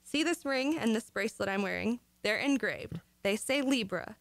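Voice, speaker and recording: A woman's voice: fairly high pitch, lively intonation, moderate pace, vocal fry, no echo. A woman playing a scatterbrained, gullible and earnest wife with daffy logic in an old-time radio comedy. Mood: earnest, insistent.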